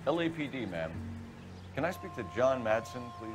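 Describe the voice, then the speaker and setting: An actor playing a composed 1940s police detective in a video game, speaking in a formal, businesslike way. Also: male voice, medium pitch, sing-song, moderate pace, over music, close to an everyday voice.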